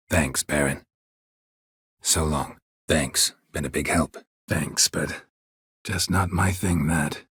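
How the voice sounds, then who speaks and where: An American man voicing a stoic, world-weary, middle-aged monster hunter in a video game, with a gravelly, husky voice and a deadpan, clipped delivery. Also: very deep voice, no echo, clean studio sound, exaggerated voice. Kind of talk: video game character dialogue lines.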